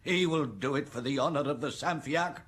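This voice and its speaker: An actor playing a middle-aged estate steward in an audio drama, aggrieved and gossipy, with a grumbling, knowing manner. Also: male voice, on the high side, normal speed, a bit bright, close to an everyday voice.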